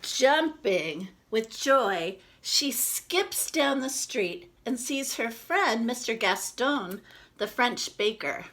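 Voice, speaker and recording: A woman reading a picture book aloud to children, expressive, with casual chatty asides to explain it. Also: a little high, takes it slowly. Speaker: children's read-aloud presenter.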